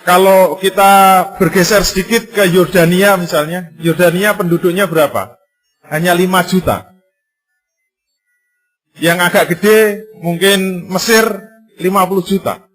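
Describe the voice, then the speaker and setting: A male Islamic preacher giving a sermon, full of rhetorical questions and emphatic repetition, lively and sometimes joking. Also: high voice, measured pace, pauses often, soft background music. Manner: lively, conversational and jokey in places.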